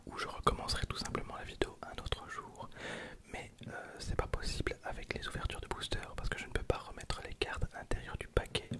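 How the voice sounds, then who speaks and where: Softly whispering ASMR video host. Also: a little fast. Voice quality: soft breathy whisper. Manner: rambling, informal, confiding to viewers. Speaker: host of an ASMR card-opening video channel. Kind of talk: whispered ASMR, casual unscripted chat.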